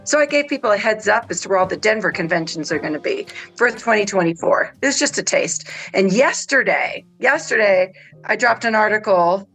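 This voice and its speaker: A woman's voice: mid-range voice, expressive, moderate pace, a bit bright, faint music behind, rough recording. A woman guest chatting casually and enthusiastically, like a keen fan. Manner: enthusiastic, nerdy fan talk. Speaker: pop culture news guest. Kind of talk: casual chat.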